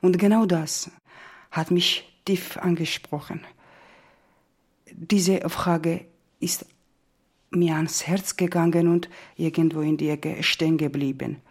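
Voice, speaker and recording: A woman with a husky voice, a non-native speaker, retelling a story reflectively. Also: deep voice, fairly even intonation, takes it slowly, long pauses, darkish tone. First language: not German.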